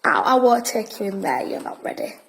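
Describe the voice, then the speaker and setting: A woman's voice: mid-range voice, big pitch swings, a little fast, creaky, fairly bright tone, low-quality recording. A woman playing a bossy, boastful wartime boy who tells spooky tales, in an audio drama. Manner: officious, storytelling.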